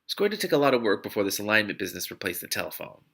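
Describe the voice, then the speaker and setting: A man's voice: lowish voice, big pitch swings, racing, vocal fry, fairly bright tone. A man hosting a read-aloud, reading a novel expressively and breaking off for enthusiastic asides. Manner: enthusiastic, interjects reactions. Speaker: host of a book read-aloud show. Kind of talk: expressive audiobook-style reading with spontaneous asides.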